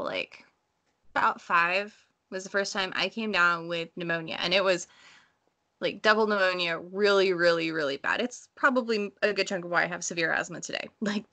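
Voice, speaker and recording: Bright-voiced woman chatting casually. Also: fairly low pitch. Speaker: book read-along panelist. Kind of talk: casual discussion, personal anecdotes.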